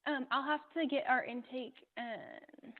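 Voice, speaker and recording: A woman's voice: high voice, steady tone, measured pace. A woman working as a law office receptionist, talking politely and procedurally on a phone call. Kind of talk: customer service phone talk. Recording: muffled narrow-band phone line.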